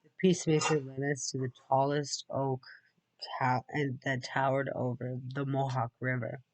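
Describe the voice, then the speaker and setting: A man's voice: mid-range voice, little pitch movement, normal speed, rough recording. A man reading a storybook aloud, sometimes dropping into casual asides. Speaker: read-aloud narrator.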